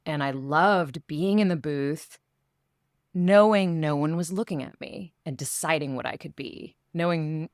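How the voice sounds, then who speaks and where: A woman's voice: low-pitched, no echo, clean studio sound. A woman voice actor talking casually in an interview, telling stories with quoted asides.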